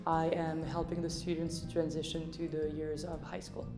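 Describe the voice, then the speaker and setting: A woman science teacher giving a calm, earnest self-introduction. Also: low voice, moderate pace, over music. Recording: echoey hall with long reverb.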